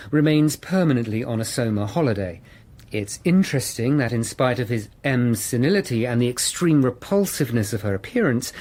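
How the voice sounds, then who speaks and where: A man's voice: medium pitch, a little mellow, husky. An actor playing an anxious subordinate in a radio drama, formal, deferential and eager to please his superior.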